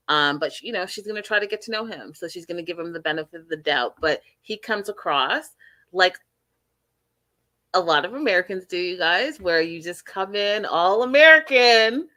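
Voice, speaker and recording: A woman's voice: lowish voice, pushed and bright, no room sound. A woman hosting a casual reality TV recap, chatty and emphatic, talking straight to her viewers. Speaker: host.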